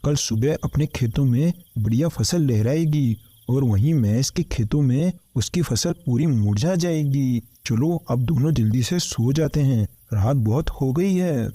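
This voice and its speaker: A man's voice: medium pitch, lively intonation, a little slow, hardly any pauses, mellow, breathy edge, no echo. A man playing a jealous, scheming farmer and smug husband in a kids' cartoon, gloating and conspiratorial. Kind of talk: Hindi kids' cartoon story dialogue. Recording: music under the voice.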